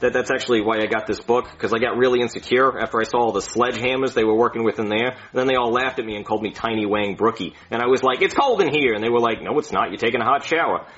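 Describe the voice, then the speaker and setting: A man's voice: medium pitch, fast, hardly any pauses, fairly bright tone, close to an everyday voice. A man playing a bumbling, insecure doctor who rambles and stammers, then screams insults at himself, on a dull lo-fi recording. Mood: rambling. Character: bumbling insecure male doctor, self-loathing.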